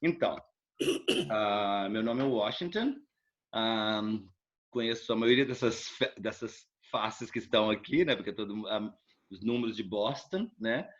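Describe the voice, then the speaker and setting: A middle-aged man from Brazil with a Brazilian Portuguese accent, casually telling his life story as an interview guest, rambling and relaxed with frequent tag questions. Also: lowish voice, unhurried, dull audio.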